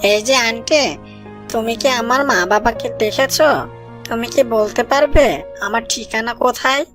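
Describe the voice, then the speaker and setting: Cartoon lead: female actor as lost young duckling, polite and childlike, plaintive, higher register, faint music. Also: measured pace, fairly bright tone. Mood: hopeful asking. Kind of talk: children's moral-story cartoon dialogue.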